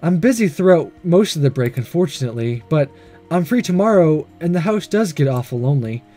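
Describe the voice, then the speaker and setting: A man's voice: fairly high pitch, lively intonation, brisk, dark tone. Man reading as a coy, flirty female teacher. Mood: coy, inviting. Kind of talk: visual novel read-aloud. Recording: faint music behind.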